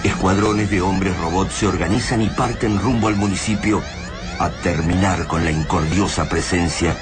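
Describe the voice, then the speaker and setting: A man with an Argentine accent playing a grave narrator of an alien invasion radio drama, speaking in a solemn, deliberate, ominous way. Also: sits low, fairly even intonation, over music, lightly acted.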